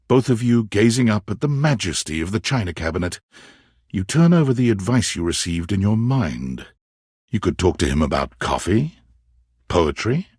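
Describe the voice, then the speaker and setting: A man narrating a romantic comedy video game with measured, dramatic storytelling and deliberate pauses. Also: fairly low pitch, a little mellow, husky, no echo, studio quality. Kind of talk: scripted second-person narration of a dating game.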